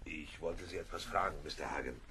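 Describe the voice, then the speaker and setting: An actor playing an anxious boatswain's mate in an audio drama, hesitant and deferential. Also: male voice, extremely low pitch, fairly quick, pushed and bright, husky, exaggerated voice. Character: anxious, subordinate boatswain's mate on a sailing ship.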